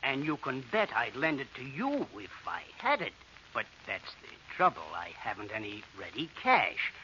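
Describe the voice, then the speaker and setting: Patronizing rich businessman, animated. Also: mid-range voice, moderate pace, exaggerated voice. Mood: evasive. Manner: hemming, patronizing. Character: wealthy, evasive, stingy businessman and old friend. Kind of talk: 1940s radio drama acting. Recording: dull, hissy old radio recording.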